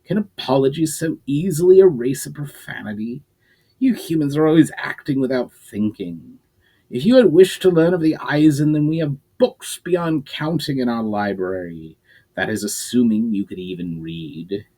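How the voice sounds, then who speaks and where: A man voicing a haughty deep-voiced Aizen warrior. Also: animated, moderate pace, mellow, slightly rough. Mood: scornful. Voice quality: deep and melodious. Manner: haughty, scolding. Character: arrogant stern male Aizen warrior, proud and scornful. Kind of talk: dramatic reading of a novel.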